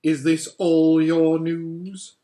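A cruel, imperious witch queen, as a male reader puts her on. Her voice rides higher and louder than his narration, sharp with command. She sounds a little further from the mic. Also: slow pace, heavily acted. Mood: cold.

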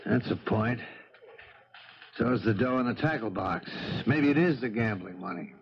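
A man's voice: fairly low pitch, moderate pace, close to an everyday voice. A man acting a doubtful, sympathetic investigator's colleague in a 1970s radio drama. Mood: disbelieving. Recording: muffled, noisy vintage radio recording.

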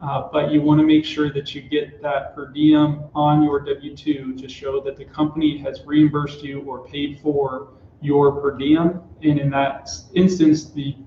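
A man in his thirties walks through tax rules in a measured, even way, his voice on the high side and quite flat. He sounds picked up in a small office room, with a roomy, dull quality to the sound. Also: slight background noise. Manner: steady, explanatory, with filler "uh"s. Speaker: tax expert guest. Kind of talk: explaining tax rules in a live company broadcast.

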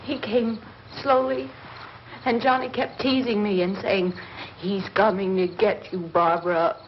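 An actress playing a terrified, hysterical young woman in shock, halting and pleading, in an old horror film. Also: mid-range voice, fairly even intonation, natural voice. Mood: dazed, shaken. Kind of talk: 1960s horror film acting. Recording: noisy, muffled old film soundtrack.

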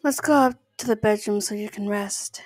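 A woman's voice: mid-range voice, moderate pace, a little mellow, lightly acted. A woman voicing a playful, doting goddess sorceress in an ASMR roleplay, cooing and teasing affectionately.

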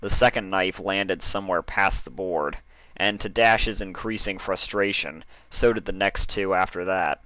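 A man's voice: deep voice, unhurried, bright, forceful tone, no room sound, poor audio. A man reading a story aloud as a scripted narrator. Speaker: fan fiction reader, narrator.

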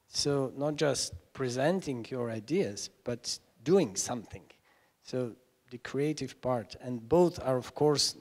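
Male moderator, middle-aged, very unhurried and halting, thinking aloud at a seminar. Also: medium pitch, a little mellow. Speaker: panel moderator. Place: lecture hall stage, seated on a panel. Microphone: handheld microphone.

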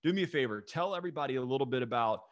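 Eager talk-show host on a dry mic. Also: male voice, medium pitch, lively intonation, very fast, no room sound, dull audio. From North Carolina. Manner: eager, effusive, repeats words. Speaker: podcast host. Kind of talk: enthusiastic interview chat. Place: home office with bookshelves. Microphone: studio mic on boom arm, video call.

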